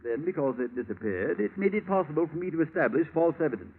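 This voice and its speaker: Suave, corrupt British inspector, polite menace with wide melodic swings, 1940s radio drama, thin narrow-band sound. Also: male voice, fairly high pitch, expressive, fast, breathy edge. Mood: gloating, mocking. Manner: urbane, mocking, elaborately polite. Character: suave, smug, corrupt male British police chief villain. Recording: tinny, narrow-band vintage radio recording.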